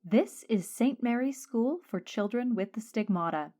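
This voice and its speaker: A woman's voice: on the high side, lively intonation, unhurried, mellow. A woman reading a scripted podcast introduction as an announcer. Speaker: announcer of show title and episode.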